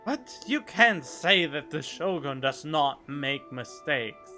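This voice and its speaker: A man's voice: a little high, animated, slow, pushed and bright, faint music behind. A young man streaming a video game, giving casual reaction commentary with exclamations and joking asides on a close microphone. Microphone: close studio condenser microphone.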